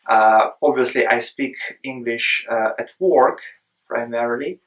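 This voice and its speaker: Man, halting. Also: mid-range voice, steady tone, a bit bright, slight background noise, rough recording. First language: Russian. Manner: hesitant, many fillers. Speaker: history lecturer. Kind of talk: interview answer.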